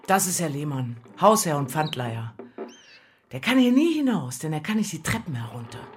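An actress playing a worldly, bitter streetwalker in a radio play, speaking in a knowing, matter-of-fact, sardonic way. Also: female voice, low voice, sing-song, normal speed, a little hiss or hum. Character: worldly, bitter streetwalker taking in a younger girl. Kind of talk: German radio play acting.

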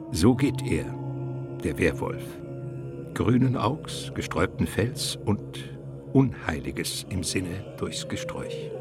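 Male narrator, very low pitch, gravelly creak, suspenseful, deliberate, literary radio drama narration. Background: prominent music.

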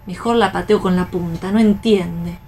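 An actress playing a defiant young newcomer at a factory in a radio play, outspoken and plaintive, with an Argentine accent. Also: female voice, on the low side, steady tone, normal speed, warm tone, a little hiss or hum, natural voice. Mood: cheeky, bored. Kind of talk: radio read theatre.

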